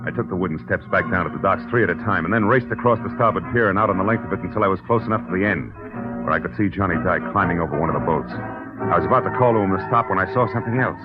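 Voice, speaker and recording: An actor playing a tough, wisecracking private detective and narrator in a 1940s radio drama, sardonic and clipped. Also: male voice, sits low, racing, loud music behind the voice, lightly acted. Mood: tense narrating. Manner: hard-boiled, sardonic, clipped. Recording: dull old radio recording.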